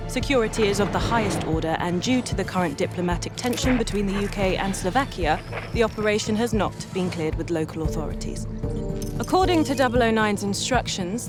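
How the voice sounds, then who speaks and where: An actress playing an efficient spy mission briefer in a video game, formal, measured and precise. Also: female voice, mid-range voice, natural voice. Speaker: briefing officer. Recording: music behind the voice.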